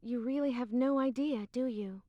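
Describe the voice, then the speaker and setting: A woman's voice: on the high side, normal speed, muffled recording, natural voice. An actress playing a composed, secretive young woman in a video game cutscene, with a cool, matter-of-fact delivery. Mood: grave. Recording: roomy, faint game music behind.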